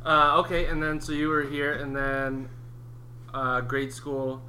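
A man in his late twenties hosting a casual podcast interview, asking questions between quick joking asides. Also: medium pitch, monotone, sharp tone, slight background noise, rough recording. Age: late 20s. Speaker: host.

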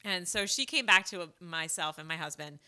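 A woman's voice: on the low side, moderate pace. A woman in her forties, a doula, chatting in an interview and animatedly telling stories. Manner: animated storyteller, acts out quoted voices. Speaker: doula, guest. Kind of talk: casual interview chat.

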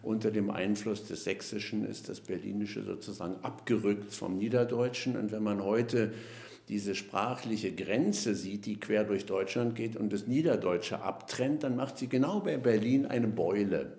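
A man, a linguist, explaining at length in a podcast interview, measured and lecturing, with frequent hesitation fillers. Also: fairly low pitch, vocal fry, mellow, echoey room. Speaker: linguist guest.

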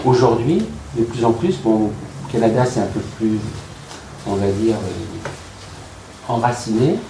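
A man giving welcoming remarks to a class in a halting way, searching for words with many fillers. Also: on the low side. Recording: echoey room, faint background noise.

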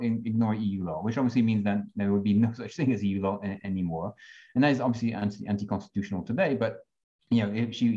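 A man's voice: medium pitch, dark tone, highs cut off. A French-born man on a discussion panel, talking with many restarts and fillers. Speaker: panel guest.

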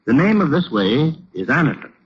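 Formal American vintage radio pitchman, muffled recording. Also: big pitch swings, normal speed. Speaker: radio announcer. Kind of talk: 1930s-40s radio advertisement. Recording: muffled old radio recording, faint noise.

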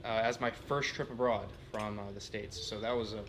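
A man's voice: fairly low pitch, steady tone, moderate pace, slight background noise. A man from Texas introducing himself as a guest, in a modest, hesitant way. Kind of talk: casual self-introduction.

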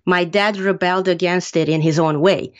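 A woman from Hungary, an author answering interview questions in a measured, earnest way. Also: lowish voice, steady tone. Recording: muffled lo-fi sound.

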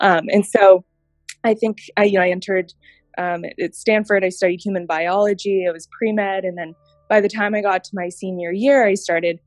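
A woman in her thirties, raised in Japan, speaking as an interview guest and reflectively recounting how she came to work in healthcare, with thoughtful pauses. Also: mid-range voice, fairly even intonation, no room sound. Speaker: guest, healthcare innovation director. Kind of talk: interview conversation.

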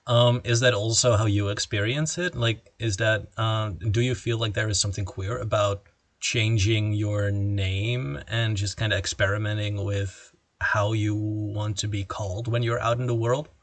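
A man's voice: fairly low pitch, steady tone, moderate pace, no echo. A man hosting a casual interview, asking playful, joking questions. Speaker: podcast host.

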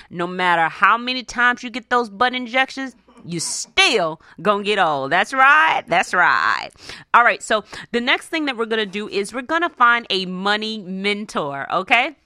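A woman's voice: mid-range voice, no room sound, clean studio sound. A woman hosting a radio show, coaching listeners in a conversational, emphatic way, often checking in with them and acting out imagined exchanges. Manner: animated, emphatic, acts out imagined dialogue; punctuates points with check-in questions. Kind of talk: motivational talk to listeners, conversational.

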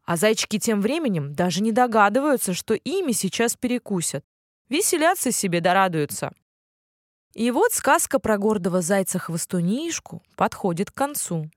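A woman narrating a children's bedtime fairy tale as an expressive storyteller. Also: medium pitch, moderate pace, no room sound, studio quality.

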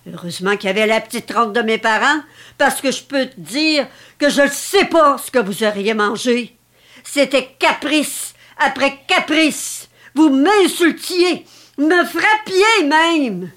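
A woman's voice: fairly high pitch, a bit bright. An actress playing an elderly, possessive mother, theatrical and self-pitying, in a dramatic script reading. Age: older. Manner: theatrical, reproachful, martyr-like.

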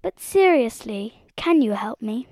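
A woman's voice: a little high, big pitch swings, normal speed, dry recording, studio quality. A woman voicing a flustered, easily exasperated female bird who runs a cafe, in a children's story. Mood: grudging.

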